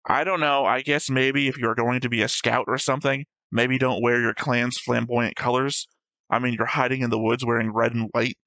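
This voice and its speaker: A man's voice: mid-range voice, fairly even intonation, normal speed, pushed and bright, no echo, rough recording. A man co-hosting a reaction show, chatting casually in a blunt, argumentative, sweary way. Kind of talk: casual discussion of an anime episode.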